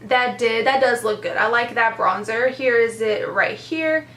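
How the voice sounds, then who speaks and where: A woman's voice: a little high, a little slow, bright, forceful tone, roomy sound. A young woman with a congested, stuffed-up voice, chatting enthusiastically as she reviews makeup. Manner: chatty, enthusiastic, lots of "super" and "very". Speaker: beauty vlogger. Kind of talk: casual product review chat.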